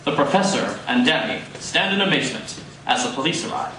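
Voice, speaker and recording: A man's voice: medium pitch, very animated, fairly quick. A man narrating dramatically from a script in the style of a radio serial, at a live performance. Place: live coffee house performance. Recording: echoey live coffee house, distant, noisy.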